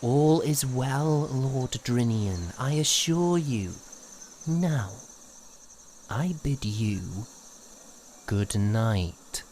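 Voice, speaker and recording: A woman's voice: very deep voice, expressive, drawn-out words, long pauses, dark tone, slight background noise, heavily acted. A woman playing a proud, lovestruck young prince who speaks in formal, archaic courtly language for an audio drama. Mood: calm, assured. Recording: music under the voice.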